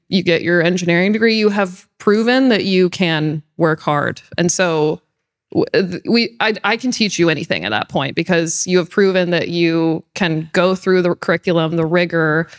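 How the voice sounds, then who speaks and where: A woman answering a panel question in a casual, quick way, with restarts and filler words. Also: lowish voice. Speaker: alumna panelist.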